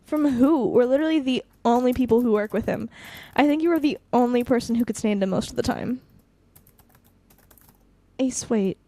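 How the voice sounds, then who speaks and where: Woman playing hot-headed young gal in an audio drama, highish pitch, blunt n sarcastic, really dry sound. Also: normal speed, long pauses, mellow, no room sound, natural voice. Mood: angry, scornful. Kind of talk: naturalistic audio drama dialogue.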